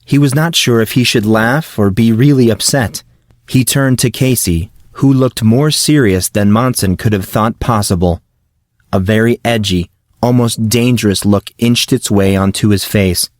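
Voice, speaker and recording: A man's voice: fairly low pitch, normal speed, dry recording. Man narrating a story, dry close sound. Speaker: audiobook narrator. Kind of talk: scripted fantasy story narration.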